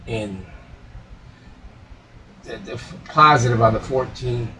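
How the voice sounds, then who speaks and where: A man's voice: mid-range voice, slow pace, sharp tone, poor audio. A man giving casual, unscripted commentary as a repair hobbyist, folksy and muttering to himself.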